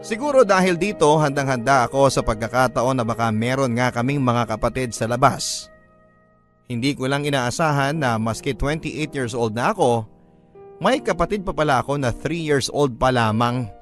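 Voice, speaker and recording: Mid-pitched male story narrator. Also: normal speed, faint music behind. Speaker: radio-style story narrator. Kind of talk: scripted first-person storytelling narration.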